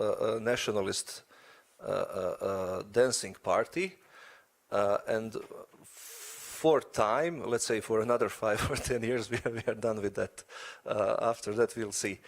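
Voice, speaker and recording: A man answering as a panel guest, stammering with many filler sounds. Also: on the low side.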